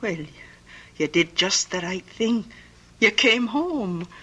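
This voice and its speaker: Wistful old Scottish aunt, bright-voiced. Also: female voice, medium pitch, animated, slow, breathy edge, heavily acted. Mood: fond, proud. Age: older. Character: fond, lonely old Scottish aunt who held seances. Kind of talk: radio drama acting.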